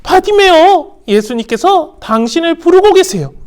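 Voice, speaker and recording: Woman as bystanders: high, loud, calling out in a storybook. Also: very animated, normal speed. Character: crowd of bystanders, loud and impatient.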